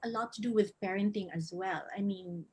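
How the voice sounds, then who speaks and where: A woman's voice: mid-range voice, fairly quick, darkish tone, breathy edge. A woman hosting a casual online panel, asking guests questions with many fillers. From Philippines. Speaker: webinar host.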